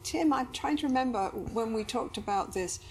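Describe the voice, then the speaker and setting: A middle-aged woman with a somewhat high voice talks in a conversational way, as a therapist guiding a counseling session. She is in an indoor studio, with some background noise behind her. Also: expressive, normal speed, a little mellow. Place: indoor studio set with sofas.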